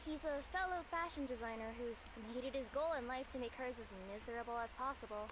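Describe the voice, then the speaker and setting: Brief: woman playing a high, bubbly cat yokai courier girl, sheepish, game dialogue with background noise. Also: fast, lightly acted. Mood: cheery, sheepish. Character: cheerful young cat yokai courier girl with two tails.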